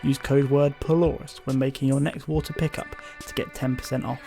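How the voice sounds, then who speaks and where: A man's voice: mid-range voice, flat delivery, a little fast, creaky, mellow, soft background music, lightly acted. A man playing an inquisitive history podcast host from a post-apocalyptic future, in a scripted interview style. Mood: measured, presenting.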